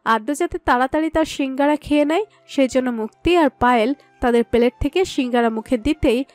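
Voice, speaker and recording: A woman narrates a scripted story in a high-pitched voice. The sound is close and dry, with no room around it. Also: a little fast, darkish tone, no echo.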